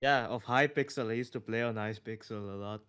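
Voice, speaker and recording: A man's voice: mid-range voice, fairly quick, fairly bright tone, smooth. A man in a casual chat, giving brief, agreeable replies.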